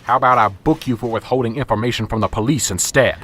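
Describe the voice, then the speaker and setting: A man voicing a gruff, impatient male police sergeant in an amateur radio drama, curt in manner. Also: medium pitch, fast talker, a bit bright, natural voice.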